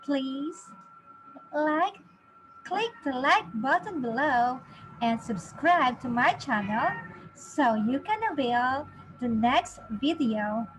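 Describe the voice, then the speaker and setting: A woman in her thirties teaching a children's phonics lesson, encouraging, with exaggerated, deliberate enunciation for young learners. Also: high voice, animated, noisy background. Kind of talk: children's phonics lesson, sounding out letters and words.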